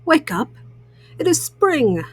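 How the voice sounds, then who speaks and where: A woman's voice: high voice, big pitch swings, slow pace, heavily acted. A woman voicing a cheerful, eager male frog in a storybook, coaxing and earnest. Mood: urgent, excited.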